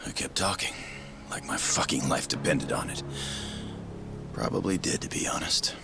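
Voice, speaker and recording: An actor playing a desperate, foul-mouthed, loyal young yakuza underling in a dramatic video game cutscene. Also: male voice, low voice, moderate pace, gravelly, loud music behind the voice. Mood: shaken, confessing. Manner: swearing, stammering under pressure.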